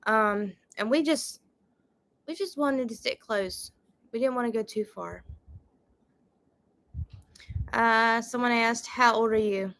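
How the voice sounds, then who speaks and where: A woman's voice: fairly high pitch, takes it slowly, long pauses, fairly bright tone. A woman, casually chatting and reading out viewer questions as co-host of a family channel. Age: 30s. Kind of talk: casual live Q&A chat.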